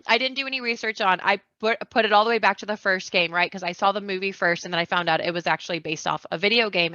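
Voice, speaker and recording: Female podcast guest, forceful bright tone, lowish pitch, chatty and rambling, muffled lo-fi mic. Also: no echo. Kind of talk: casual chat.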